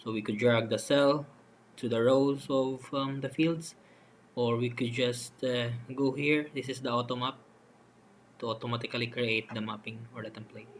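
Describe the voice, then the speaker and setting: Male software demonstrator, unscripted and halting, walking through screen steps, some noise behind the voice. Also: medium pitch. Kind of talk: step-by-step screen tutorial narration. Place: voice-over for a screen recording. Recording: noticeable steady background noise.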